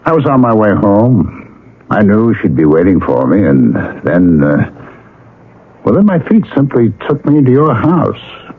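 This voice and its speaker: A man's voice: on the low side, sing-song, unhurried. An actor playing a self-deprecating middle-aged man in love, with dry, pointed delivery in a 1970s radio drama. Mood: uneasy, resigned. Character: wry, self-deprecating older suitor in love. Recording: muffled, noisy vintage radio broadcast.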